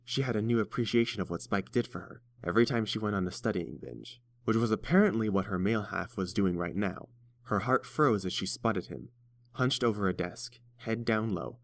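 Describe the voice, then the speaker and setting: A man's voice: fairly low pitch, no echo. A man reading scripted fiction aloud as the narrator of an audiobook.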